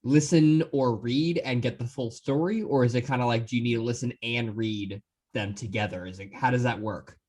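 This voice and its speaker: A man's voice: mid-range voice, normal speed, a little mellow. A man hosting a podcast, asking questions in an informal, curious and casual way. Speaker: podcast host.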